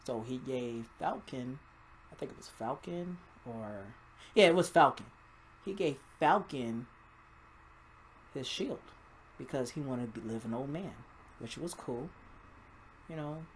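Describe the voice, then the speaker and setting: A man's voice: medium pitch, slight background noise, rough recording. A man giving a casual, rambling movie review in an informal, mildly profane vlog style. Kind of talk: casual spoiler-filled film review vlog.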